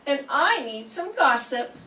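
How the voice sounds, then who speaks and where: A woman's voice: high-pitched, big pitch swings, unhurried, sharp tone, full character voice. Older woman as a wide-eyed scatterbrained reporter. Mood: naive. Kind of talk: amateur radio drama reading. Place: classroom. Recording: echoey room, far from mic.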